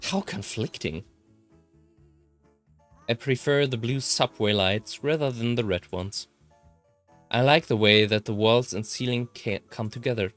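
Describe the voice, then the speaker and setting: A German man with a German accent reading first-person visual novel text aloud as a let's player, in an expressive way. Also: mid-range voice, a little slow, long pauses, faint music behind.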